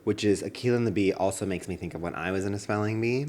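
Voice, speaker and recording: Low-pitched man, chatty podcast co-host. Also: fast talker, a little mellow, a little hiss or hum. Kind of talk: casual comic chat.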